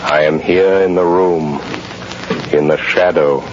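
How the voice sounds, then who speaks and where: An actor playing a mysterious invisible avenger in old radio drama, calm and menacing, with an ominous, deliberate delivery. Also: male voice, low-pitched, noisy background. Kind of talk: 1930s radio drama acting. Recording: dull old radio recording, music behind.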